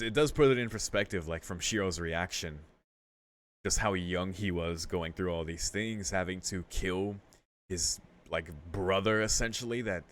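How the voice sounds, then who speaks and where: A man's voice: low voice, a bit bright. A man streaming a visual novel, reading the story text aloud and adding casual, musing commentary with some swearing.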